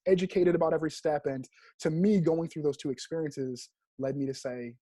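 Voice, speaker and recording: A man's voice: fairly high pitch, a little fast, mellow, breathy edge, no room sound. An American man in his thirties from Rhode Island, a real estate agent telling his story casually as an interview guest. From Rhode Island, United States.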